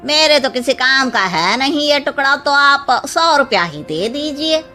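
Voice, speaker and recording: A woman plays a polite market cloth seller in a cartoon tale. The voice is high and bright, and the delivery is slow and deferential. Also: animated, a little hiss or hum, heavily acted. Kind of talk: animated moral-story cartoon dialogue.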